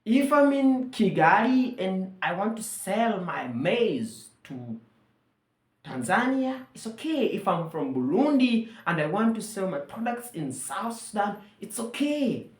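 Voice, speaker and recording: A woman's voice: mid-range voice, sing-song, a little slow, echoey room. A woman from Uganda whose first language is Luganda, speaking in an earnest, rallying way and repeating phrases for emphasis. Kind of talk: persuasive monologue to camera.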